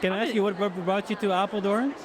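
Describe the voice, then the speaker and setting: A man's voice: high-pitched, fairly even intonation, quick pace, a crowd in the background. A man interviewing people at a busy fair, asking short friendly questions with some ums. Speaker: street interviewer. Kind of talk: casual street interview.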